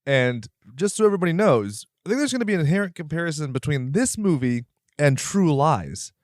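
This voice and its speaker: A man's voice: fairly high pitch, big pitch swings, fairly quick, dry recording. Joking male podcast host, dry close sound. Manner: joking, playfully theatrical. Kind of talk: casual movie review chat.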